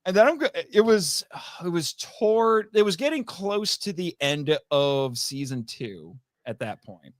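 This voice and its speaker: A man's voice: a little high, unhurried, no echo. Rambling male podcast guest, dry close sound. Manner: rambling, animated storytelling with acted-out quotes. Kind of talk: casual anecdotal interview chat.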